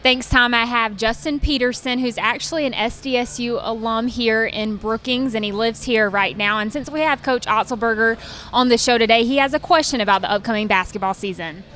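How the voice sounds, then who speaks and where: A woman with a bright, fairly high voice reports for a sports show on location. She presents audience questions in broadcast style over faint background noise. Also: brisk. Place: on location in a restaurant.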